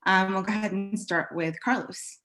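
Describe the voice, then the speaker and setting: A graduate student in her twenties moderating an online panel, soft-spoken and hesitant, with many ums, heard over a video call. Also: mid-range voice, fairly even intonation, normal speed, faint music behind.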